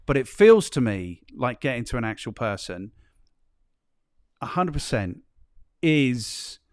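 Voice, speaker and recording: Mid-pitched male podcast host. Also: big pitch swings, unhurried, no room sound, studio quality. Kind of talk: conversational interview.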